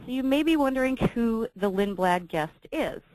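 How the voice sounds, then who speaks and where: A woman's voice: a little high, animated, darkish tone, dry recording, low-quality recording. A woman hosting a travel webinar, reading from slides in an upbeat way with frequent ums. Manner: reads from slides, brisk and upbeat with filler ums. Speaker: webinar host for a travel company. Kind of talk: scripted sales presentation.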